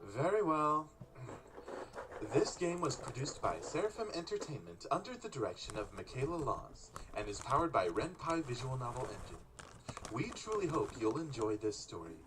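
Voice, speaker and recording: Suave, flirtatious gentleman, acted by a man, lively swoops. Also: mid-range voice, moderate pace, background noise, lightly acted. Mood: courtly, teasing. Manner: suave, courtly, flowery. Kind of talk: visual novel game acting.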